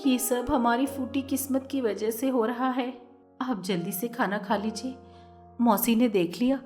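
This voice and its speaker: A woman voicing a meek, obedient young stepdaughter who pleads softly. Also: fairly high pitch, fairly even intonation, a little slow, soft background music, lightly acted. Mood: timid. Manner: timid, pleading, soft.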